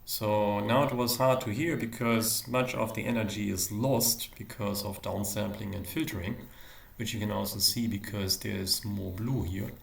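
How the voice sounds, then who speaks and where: A man giving a live technical demonstration as a university lecturer, explaining in a hesitant way with frequent pauses. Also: fairly low pitch, fairly even intonation, gravelly. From Germany. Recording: roomy echo, faint background noise.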